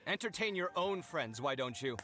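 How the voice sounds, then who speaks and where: A man's voice: a little high, expressive, normal speed, sharp tone, faint music behind. A man playing a young, touchy, dramatic scholar in an anime fantasy game, fretful and easily flustered. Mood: indignant. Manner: theatrical, indignant, fretful.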